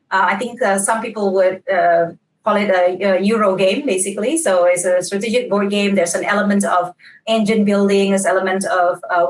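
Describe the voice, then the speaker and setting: Distant echoey woman from Indonesia. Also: mid-range voice. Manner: chatty, restarts words often, laughs easily. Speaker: panel guest, game designer. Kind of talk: casual panel interview. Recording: echoey and distant with faint noise.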